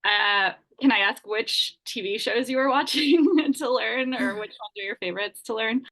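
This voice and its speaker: A woman's voice: a little high, normal speed, fairly bright tone. A woman moderating a panel informally, rephrasing her questions as she goes.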